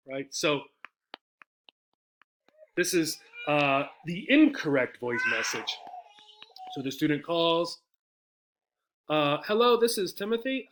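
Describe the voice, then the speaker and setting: A man teaches an English lesson in a bright, clear-cut voice that sits slightly high. He talks conversationally, breaking points into small steps and often checking that listeners follow. He also speaks out model phrases as examples. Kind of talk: explanatory lesson with example phone messages.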